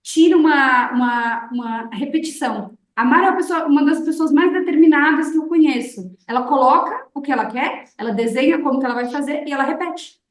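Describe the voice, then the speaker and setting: A woman of twenty-nine with a Brazilian accent hosting a casual interview in an expressive, emphatic, colloquial way. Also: high voice, fairly quick, few pauses. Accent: Brazilian Portuguese. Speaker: interview host, English teacher. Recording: echoey room, distant from microphone.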